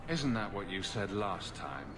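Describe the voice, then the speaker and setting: An actor playing a charming, sardonic young adventurer hero in a video game, with a dry wit. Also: male voice, lowish voice, sing-song, unhurried, fairly bright tone. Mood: dryly joking. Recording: roomy echo, music behind.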